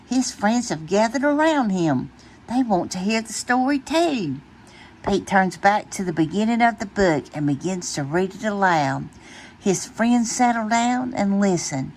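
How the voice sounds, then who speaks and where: Slow, animated woman reading bedtime stories. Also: medium pitch, slight background noise. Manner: expressive, deliberate. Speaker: storytime reader.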